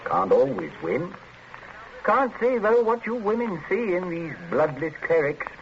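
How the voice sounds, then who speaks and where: Blustering British captain, lively old-radio voice. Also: male voice, high voice, unhurried. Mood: wry, rueful. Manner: clipped, hearty upper-class bluster. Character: breezy, blustering English captain, jilted neighbour. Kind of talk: 1940s radio thriller acting. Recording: muffled, hissy 1940s radio broadcast.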